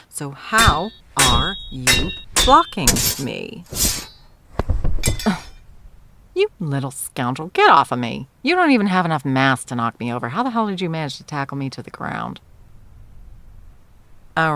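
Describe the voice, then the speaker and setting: Woman doing an evil immortal sorceress tyrant for asmr roleplay, deep for a lady, lots of fry, smug n teasing, purrs and whispers. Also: fairly bright tone, a little hiss or hum, exaggerated voice. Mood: thrilled, combative. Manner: mocking, purring, theatrical, slipping into breathy whispers. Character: arrogant centuries-old immortal sorceress tyrant, sadistic and teasing.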